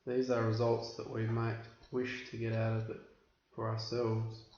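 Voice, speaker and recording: A man leads a guided meditation in an extremely even, unvarying tone at a middling pitch. His instructions are measured, with deliberate pauses between phrases. The recording is roomy and somewhat muffled. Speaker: meditation teacher. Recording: roomy, dull recording with background hiss.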